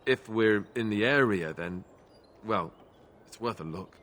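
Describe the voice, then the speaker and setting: An actor playing an earnest young warden in a video game, speaking in a halting, hesitant way. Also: male voice, lowish voice, fairly bright tone. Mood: hesitant, wistful. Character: earnest young male warden haunted by family ties. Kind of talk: video game dialogue acting.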